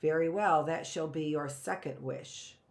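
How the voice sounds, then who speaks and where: A woman's voice: sits low, a little slow, gravelly. A woman reading aloud, voicing a mysterious old woman who grants wishes in a shaky voice. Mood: calm eerie.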